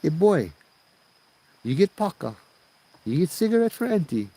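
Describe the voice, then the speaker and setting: A man voicing a calm, familiar old Hawaiian woman, a ghostly hitchhiker in a spoken tale, with a Hawaiian Pidgin accent. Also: high voice, moderate pace, breathy edge, voice pushed up, heavily acted. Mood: casual, asking.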